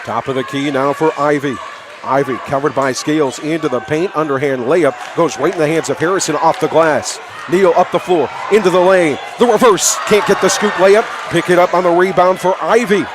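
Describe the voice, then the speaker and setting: A man calling live basketball play-by-play for radio in clipped phrases, excitable and raising his voice on big plays. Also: on the high side, a little fast. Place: indoor high school gymnasium. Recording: live from noisy gym, crowd behind. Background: crowd and bouncing basketball.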